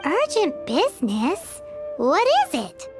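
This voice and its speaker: A tiny floating fairy girl for a video game. The voice is extremely high and childlike, with big swooping intonation. She is cheeky, bratty and quick to exclaim, over background music. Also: wildly swooping pitch, heavily acted. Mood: questioning. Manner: refers to herself in third person, exclamatory, bratty. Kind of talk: video game character acting.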